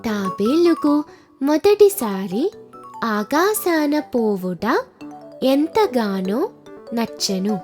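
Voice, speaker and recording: A woman narrating a folk tale for a children's cartoon in a slow, singsong storytelling manner. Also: a little high, big pitch swings, a little mellow, soft background music.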